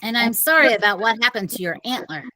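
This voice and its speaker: Woman playing an expressive, earnest young boy hero. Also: a little high, fast, rough recording. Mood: tender, apologetic. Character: young boy hero, urgent, kind-hearted. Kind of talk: storybook character dialogue.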